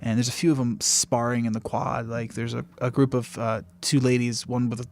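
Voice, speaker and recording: A man running a tabletop game as game master, improvising scenes in a halting, informal, jokey way. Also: mid-range voice, little pitch movement, darkish tone. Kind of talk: casual banter.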